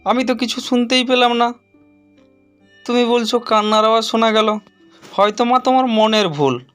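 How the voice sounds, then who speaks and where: Horror cartoon son male performer: high voice, stubborn and pleading with his mother, music underneath. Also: moderate pace, a bit bright, clean tone. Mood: reassuring, insistent. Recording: music behind the voice.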